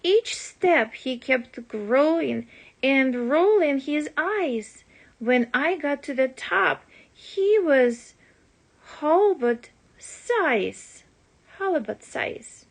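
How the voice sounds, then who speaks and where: A woman reading a rhyming children's storybook aloud as a parent would, in an expressive, deliberate storytelling manner. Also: high-pitched.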